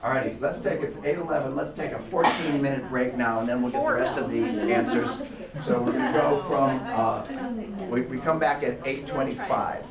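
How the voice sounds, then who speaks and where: A man with a northeastern Pennsylvania accent leading a relaxed classroom discussion and telling anecdotes. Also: medium pitch, normal speed, over a noisy crowd. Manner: conversational, anecdotal. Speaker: teacher. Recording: roomy classroom, mic at a distance.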